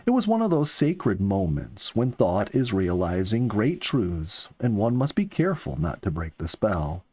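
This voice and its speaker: Expressive male storyteller with lively intonation. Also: on the low side, a little slow. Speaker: storyteller and host. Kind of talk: scripted audiobook narration for a family audience.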